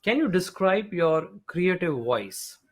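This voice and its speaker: A man's voice: sits high, sing-song, slow. A man hosting an interview, asking polite questions hesitantly, with fillers, from a distant remote mic. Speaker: podcast host.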